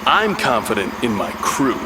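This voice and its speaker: An actor playing a cool mutant rebel leader in a cartoon, calm, deliberate and smug. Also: male voice, mid-range voice, recorded from a distance, noisy background, close to an everyday voice. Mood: calm, confident.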